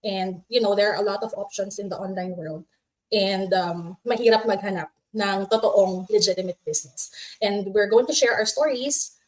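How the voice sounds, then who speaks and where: Filipino woman in her thirties, friendly live-chat host, laughs easily, medium pitch. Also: normal speed, low-quality recording. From Philippines. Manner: friendly, chatty, laughs often, switches between English and Tagalog. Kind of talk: casual livestream chat.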